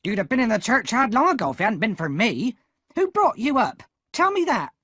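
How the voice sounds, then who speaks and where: A man voicing a hard, angry older woman who scolds and snaps in a shrill voice. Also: sing-song, brisk, a bit bright, no room sound, studio quality, exaggerated voice. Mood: scolding.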